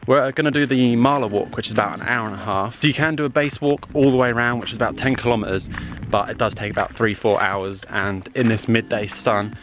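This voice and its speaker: A man's voice: medium pitch, brisk, few pauses. A young man chatting casually to a camera held at arm's length, narrating a travel vlog outdoors and in a campervan, with loose fillers. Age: young adult. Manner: relaxed, chatty, hesitant with fillers. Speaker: travel vlogger. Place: outdoors at a desert rock site and in a campervan.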